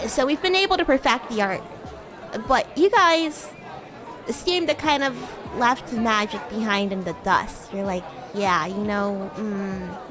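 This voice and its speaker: Woman playing a high-pitched bratty demon girl. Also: fairly bright tone. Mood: smug, curious. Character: bratty young female demon classmate, haughty yet sweet. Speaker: streamer, VTuber host. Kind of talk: improvised in-character roleplay chat with viewers.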